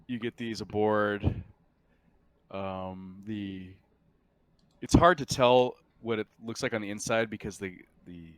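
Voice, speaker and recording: A man narrating and refereeing a tabletop roleplaying game as game master, in a halting, deliberate way. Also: lowish voice, clean tone.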